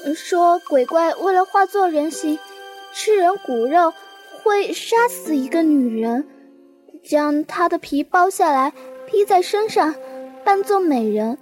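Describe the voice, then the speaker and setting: A woman's voice: very high-pitched, expressive, unhurried. A woman playing a timid young servant in a period audio drama, hesitant and stammering. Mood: timid, nervous. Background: faint music.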